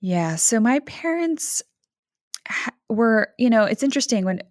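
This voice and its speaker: A woman's voice: fairly high pitch, sing-song, a little slow, no room sound, studio quality. A woman in her thirties, originally from Canada, speaking as a podcast guest in a reflective, thoughtful way with frequent fillers and light laughs. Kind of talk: conversational interview.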